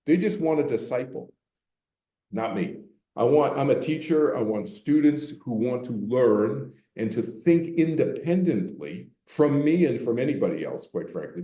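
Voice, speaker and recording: A man's voice: fairly low pitch, mellow, roomy sound. An older man from Boston, a meditation teacher giving a candid, conversational public talk to a library audience. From Boston, Massachusetts. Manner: candid, conversational, repeats words when restarting. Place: library meeting room.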